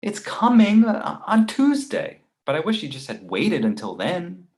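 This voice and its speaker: A man's voice: sits high, huge pitch swings, measured pace, full character voice. A man playing a cautious, exasperated book editor friend in a comedy table read. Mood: wry.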